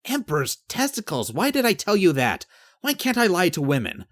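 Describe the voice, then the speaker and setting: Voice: mid-range voice, very animated, unhurried, a bit bright, no echo, studio quality. An audiobook narrator playing an awkward, honest young male captain with a hesitant, self-deprecating way of talking. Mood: flustered.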